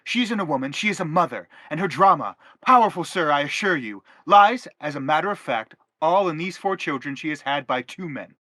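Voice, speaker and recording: A man's voice: fairly high pitch, expressive, brisk, few pauses, sharp tone, no room sound. A man playing an earnest, self-justifying father in a stage drama, speaking in an emphatic, declamatory way. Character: earnest, self-justifying father pleading his family's case. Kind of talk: theatrical stage play acting.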